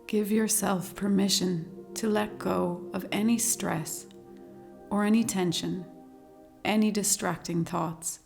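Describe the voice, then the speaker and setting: A woman leading a guided meditation, speaking slowly and calmly in a soothing, measured way with long pauses. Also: medium pitch, vocal fry. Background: meditation music with singing bowls.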